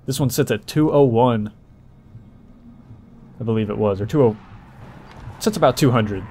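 A man's voice: medium pitch, normal speed, dark tone, a little hiss or hum. A man doing casual, explanatory gameplay walkthrough commentary as the host, talking informally to viewers.

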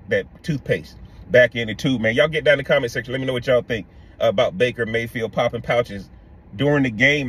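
A middle-aged man hosting a casual opinion vlog from inside a car, talking to the camera in an animated way and calling his viewers "guys". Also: mid-range voice, slightly rough. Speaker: podcast host. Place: parked car interior.

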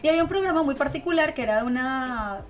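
A woman's voice: sits high, animated, fast, faint music behind. A woman with a Venezuelan accent chatting and telling stories expressively as a guest in a radio interview. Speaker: guest singer and songwriter. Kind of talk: casual radio interview storytelling. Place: radio studio. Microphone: close studio microphone with headphones.

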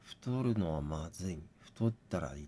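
A man doing read-aloud game commentary, musing and deliberating as he thinks out loud. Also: deep voice, expressive, a little slow, a little hiss or hum. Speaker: gaming channel host.

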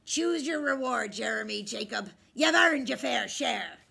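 A woman voicing a loud, blustery male pirate captain who bellows old-time sea slang while reading a storybook aloud. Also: fairly high pitch, animated, measured pace, creaky, slightly rough, exaggerated voice. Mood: hearty.

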